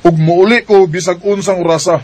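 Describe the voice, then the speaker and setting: A man's voice: sits high, fairly even intonation, brisk, a little mellow. A man voicing a resentful young man who defies his parents in a radio drama. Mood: bitter defiant. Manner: bitter, sarcastic.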